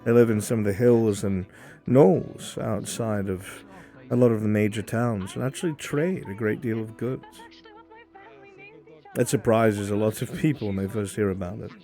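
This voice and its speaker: A man playing a solemn, philosophical imperial in a fantasy roleplay, speaking in a measured, brooding way with deliberate pauses. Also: on the low side, dark tone, lightly acted. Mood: conversational, curious. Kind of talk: improvised fantasy roleplay acting. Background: background music.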